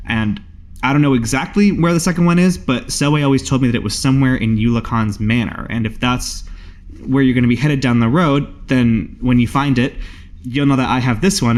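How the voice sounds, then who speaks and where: A man's voice: mid-range voice, lightly acted. A generous, apologetic brother in a tabletop roleplaying game, acted by a man. He talks casually in an everyday mid-range voice, with dry, affectionate teasing.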